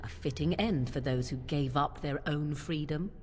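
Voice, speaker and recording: An actress playing a stern, disdainful companion in a fantasy game, cold and scornful, with hall reverb and music. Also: female voice, low voice, moderate pace, close to an everyday voice.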